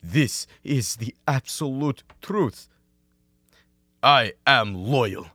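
A man's voice: medium pitch, animated, extremely slow, sharp tone, no room sound, faint music behind, full character voice. A young man acting an earnest, naive and anxious Soviet comrade, formal and literal-minded, in a radio comedy. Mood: earnest pleading. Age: young adult.

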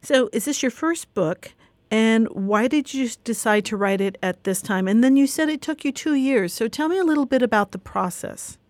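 A woman's voice: a little high, expressive, normal speed, dry recording. Upbeat woman interviewer, dry sound. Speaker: interview host.

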